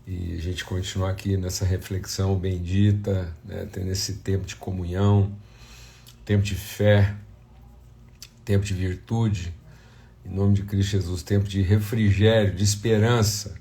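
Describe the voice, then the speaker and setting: A Brazilian man, slightly hoarse and congested, speaking as a pastor in an informal, conversational devotional talk with prayer. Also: low voice, level tone, unhurried, warm tone. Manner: conversational, addressing viewers as family and brothers. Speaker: pastor hosting a devotional livestream.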